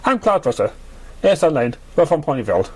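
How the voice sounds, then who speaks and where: A man's voice: a little high, very animated, quick pace, a little mellow, husky, voice pushed up. A man voicing a shy, awkward young stallion pony in an amateur story reading, hesitant and mildly wry. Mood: friendly.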